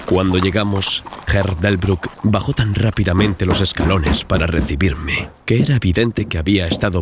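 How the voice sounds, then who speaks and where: Very deep male audiobook narrator. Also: slight background noise. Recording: music behind the voice. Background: clear background music.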